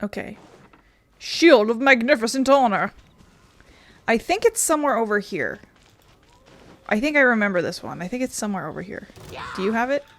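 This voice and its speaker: A woman's voice: on the high side, expressive, moderate pace, pauses often. A woman giving casual, sweary let's play commentary, irreverent and half talking to herself as she plays. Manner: irreverent, sweary, muttering to self. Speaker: gaming video host. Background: faint game music.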